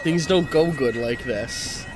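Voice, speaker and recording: A man's voice: medium pitch, slow. Man streamer with a cold, stuffy and wry, faint music behind. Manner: wry, profane, anticipating trouble. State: congested from a cold. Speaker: streamer playing a video game. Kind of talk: casual gameplay commentary.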